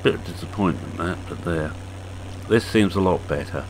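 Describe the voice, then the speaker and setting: A man in his sixties with a hoarse, croaky voice, giving casual, rambling commentary on a farming game with halting fillers. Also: deep voice, a little hiss or hum, poor audio. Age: 60s. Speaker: farming game video maker.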